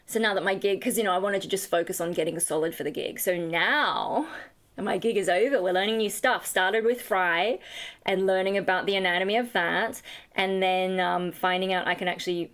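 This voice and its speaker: A young woman with a high voice, rambling tiredly in a casual vlog chat, full of fillers and self-deprecating asides. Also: fairly bright tone. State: tired, running on fumes. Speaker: vlogger and singer.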